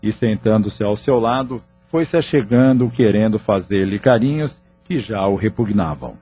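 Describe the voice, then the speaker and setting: A man's voice: on the low side, lively intonation, normal speed, husky. A man reading scripted narration for an audio novel as its narrator. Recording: muffled lo-fi audio, background music.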